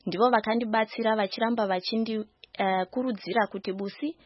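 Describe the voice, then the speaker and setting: A woman's voice: on the high side, fairly even intonation, quick pace. A young woman from Zimbabwe with a Zimbabwean accent, a guest in a radio studio, answering earnestly in English and Shona with many hesitations. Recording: dry, slightly dull studio sound.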